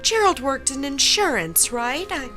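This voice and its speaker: A consoling neighbor lady played by an actress in an audio drama, high-pitched and unhurried. She is soothing and proper, with music clearly behind her. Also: very animated, pushed and bright, exaggerated voice. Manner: soothing, measured.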